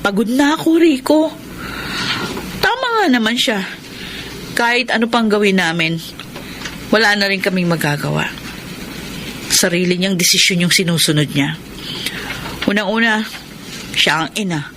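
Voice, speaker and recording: Isang babaeng gumaganap bilang anak na may hinanakit at pagod na sa kanyang ina, sa dramang panradyo. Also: female voice, mid-range voice, lively intonation, moderate pace, pauses often, noisy background, natural voice. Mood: weary, resigned. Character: resentful, weary grown daughter, bitter about her mother. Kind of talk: Tagalog radio drama acting.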